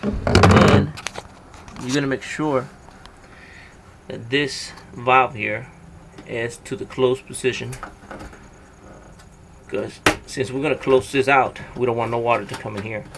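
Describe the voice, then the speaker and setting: A man's voice: medium pitch, fairly even intonation, takes it slowly, vocal fry, long pauses, heavy background noise. A man giving a casual step-by-step how-to on his boat outdoors, talking plainly with fillers. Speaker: DIY boat video host.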